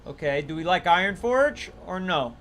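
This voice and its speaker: A man's voice: high-pitched, expressive, moderate pace, a bit bright. Chatty male game streamer. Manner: chatty, playful, thanks viewers and runs mock auctions. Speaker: livestream gamer. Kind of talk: casual gaming livestream chat.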